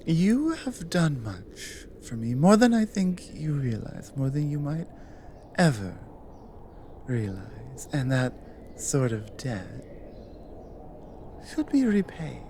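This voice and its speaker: A woman playing an exiled cougar guardian in an intimate ASMR audio drama, lonely and wary yet flirtatious, speaking haltingly in murmurs and whispers. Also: a bass voice, big pitch swings, mellow, a little hiss or hum, heavily acted. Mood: seductive. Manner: slow, halting, breathy murmurs dropping into whispers.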